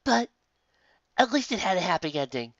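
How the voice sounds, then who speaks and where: A narrator voicing a soft-hearted, sensible female unicorn in a storybook. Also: medium pitch, sing-song, normal speed, no echo, muffled recording, pitched above the performer's own voice. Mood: sad, hopeful. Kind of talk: acted storybook character voice.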